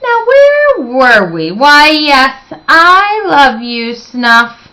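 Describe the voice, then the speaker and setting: Lady doing a grumpy bossy she-dragon from a kids book, bright and dramatic, imperious. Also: high-pitched, very animated, drawn-out words, pitched above the performer's own voice, heavily acted. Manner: theatrical, emphatic, imperious. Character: fierce, haughty female library dragon, grumpy and dramatic. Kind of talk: storybook read-aloud character voice.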